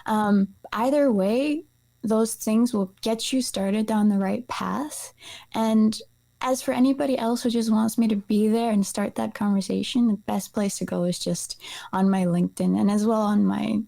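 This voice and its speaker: A woman's voice: medium pitch, fairly even intonation, normal speed, creaky, mellow. A woman from Iceland speaking as an interview guest, explaining things in a casual, conversational way. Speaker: guest, nutrition practitioner.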